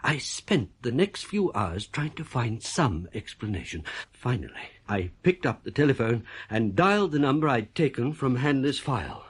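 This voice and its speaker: Troubled man recounting an eerie tale, animated. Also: medium pitch, moderate pace, breathy edge. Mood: troubled, confiding. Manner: troubled, confiding first-person storytelling. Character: uneasy, suspicious male composer telling his own eerie story. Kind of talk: radio play narration and dialogue.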